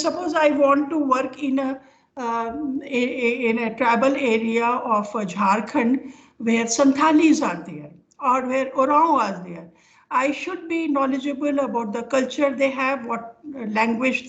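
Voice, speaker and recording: An older woman with an Indian accent giving an informal academic lecture over a video call, speaking haltingly as she explains with examples. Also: sits high. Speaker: professor giving an online lecture. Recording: dull, lo-fi online call audio.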